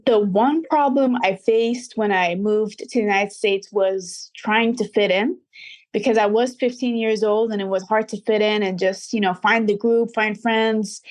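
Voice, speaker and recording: 20 yr old woman, earnest and kinda formal, mid pitch. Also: fairly even intonation, a little slow. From Dubai. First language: Arabic. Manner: earnest, reflective, slightly formal. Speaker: guest, recording artist. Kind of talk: interview answers.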